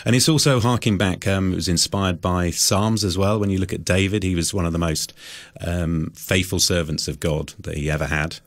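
Very deep-voiced man chatting. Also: fairly even intonation, moderate pace, hardly any pauses, dry recording. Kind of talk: casual radio interview chat.

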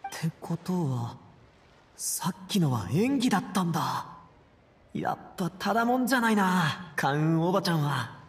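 An actor playing an earnest, brash young man in an anime game, speaking in a casual, rough-edged youthful way. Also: fairly high pitch, very animated, moderate pace, full character voice. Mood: reflective. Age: young adult.

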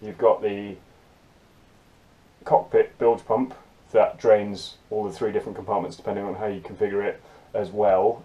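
A man in his forties with a fairly low voice talks through a cramped boat cabin in a plain, practical way, dropping in ums as he points things out. He holds the camera close, and there is a steady hiss of noise with clicks from lids and handling. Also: low-quality recording. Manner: matter-of-fact, practical, with frequent ums. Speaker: sailor giving a boat tour. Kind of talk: casual walkthrough. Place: cramped cabin of a small yacht. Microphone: handheld action camera close up.

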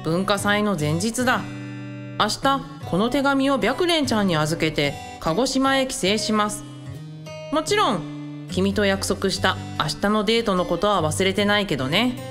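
A woman's voice: medium pitch, animated, measured pace, lightly acted. Woman as earnest young man, guitar behind. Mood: earnest, tender. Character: earnest, gentle young male student, a boyfriend. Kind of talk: letter read as radio drama monologue. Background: guitar music.

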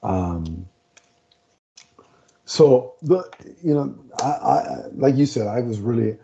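Guy cohosting a comics podcast, super dark mellow voice, keeps hunting for words, muffled lo-fi call audio. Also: medium pitch, animated, takes it slowly, creaky, a little hiss or hum. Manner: rambling, often searching for words. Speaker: podcast co-host. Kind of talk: casual comic review chat. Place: home room, video call. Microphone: headset. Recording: dull lo-fi video call audio.

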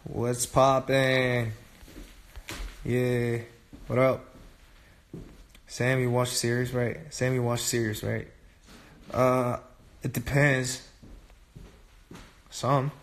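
Young man on a phone live stream, relaxed comment-reading chat, dim room, easygoing mid-pitch voice. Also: extremely slow, long pauses. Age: young adult. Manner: relaxed, chatty, switching between English and Korean. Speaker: livestream host. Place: dim room indoors at night. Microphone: phone held close at arm's length.

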